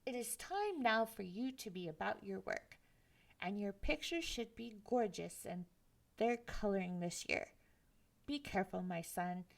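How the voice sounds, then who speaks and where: A woman's voice: mid-range voice, expressive, measured pace, gravelly. A woman voicing a stern old mother figure, the North Wind, who scolds her son firmly.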